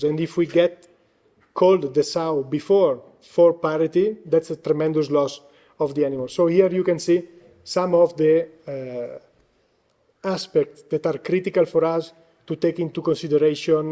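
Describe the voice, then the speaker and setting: Italian-born guy giving a talk, voice going hoarse, lots of uh's, kinda warm soft tone. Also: a little high. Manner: hesitant, frequent "uh" fillers. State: losing his voice. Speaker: guest speaker. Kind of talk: conference presentation.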